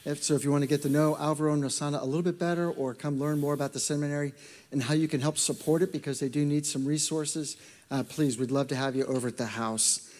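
A man's voice: fairly high pitch, steady tone, quick pace, a little mellow. A man serving as church pastor, introducing a guest preacher and asking him questions, with an affirming manner. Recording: long church-hall reverb.

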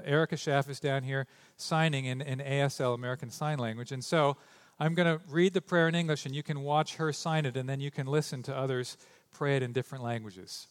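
Male pastor, mid pitch, conversational sermon delivery with restarts, church mic. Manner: loose, joking asides with stumbles and restarts. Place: church sanctuary. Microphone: stage microphone.

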